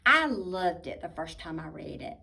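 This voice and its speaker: A woman reading a children's picture book aloud expressively, with dramatic pauses and asides to her listeners. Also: on the low side, big pitch swings, creaky, pushed and bright. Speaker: storybook reader. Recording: voice distant from the mic.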